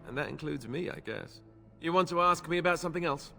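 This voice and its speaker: A man voicing an earnest, self-deprecating young knightly warrior in a video game, explaining things in a measured way. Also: a little high, vocal fry, bright, forceful tone, big-room sound, soft background music. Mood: candid, modest.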